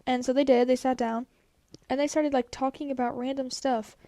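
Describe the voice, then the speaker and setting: A woman's voice: high-pitched, flat delivery, normal speed, mellow, no echo. A teenage girl telling a story in casual chat, rambling with lots of "like", slightly congested from a cold. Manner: rambling, many "like" fillers. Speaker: vlogger.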